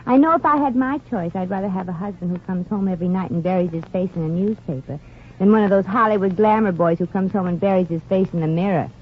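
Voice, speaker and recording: A woman's voice: mid-range voice, fast, phone-quality sound, lightly acted. An actress playing a brassy, folksy, wisecracking young American woman in a 1940s radio comedy. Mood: wry, chatty. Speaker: lead actress and narrator of a comedy series. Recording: noisy, band-limited old radio recording.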